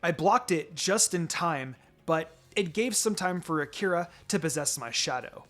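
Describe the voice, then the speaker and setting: A man with a slightly high voice narrates a piece of fan fiction. His delivery is expressive and dramatic, as a scripted story read aloud. Music plays faintly behind him. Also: measured pace. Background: background music.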